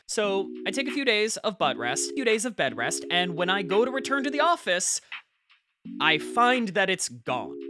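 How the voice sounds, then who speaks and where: An excitable man in his late twenties hosting a conspiracy channel in an audio drama. His delivery is chatty and wry, with emphatic, swooping pitch and a paranoid edge. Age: late 20s. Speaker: host of a conspiracy video channel. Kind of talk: scripted audio drama monologue as a casual vlog.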